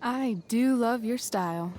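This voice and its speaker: A woman's voice: fairly high pitch, unhurried, a little mellow, close to an everyday voice. A woman acting a poised, teasing American teenage girl, a school play's leading lady, in a video game. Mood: wry, teasing.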